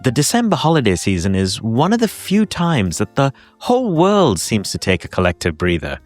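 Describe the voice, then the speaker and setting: A man's voice: mid-range voice, wildly swooping pitch, moderate pace, over music. A man narrating a podcast episode, telling personal holiday stories in a reflective way. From Hong Kong. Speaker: podcast host. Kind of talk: scripted narration.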